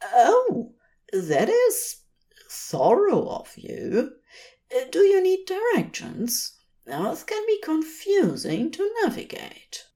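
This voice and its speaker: A woman's voice: very high-pitched, wildly swooping pitch, takes it slowly, mellow, voice pushed up, full character voice. A woman voicing a polite, dignified male angel in a fantasy story, with careful and formal phrasing. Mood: politely puzzled.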